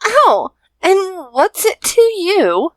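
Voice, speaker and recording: Bossy young female pirate, actress, animated, unhurried, scheming and sassy, anime audio drama, dry sound. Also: very high-pitched, no echo, exaggerated voice. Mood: defiant.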